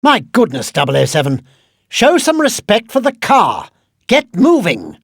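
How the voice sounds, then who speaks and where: An actor playing an exasperated older British gadget quartermaster in a video game, formal, precise and scolding. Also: male voice, sits high, wildly swooping pitch, measured pace, gravelly, a bit bright, slightly rough, no echo, clean studio sound, heavily acted. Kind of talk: video game mission briefing.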